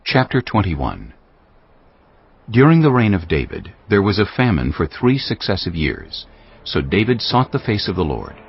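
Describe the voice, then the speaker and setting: A man's voice: low-pitched, wildly swooping pitch, moderate pace, vocal fry, husky. A man reading scripted narration for a dramatized audio Bible. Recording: dull band-limited audio with music bed.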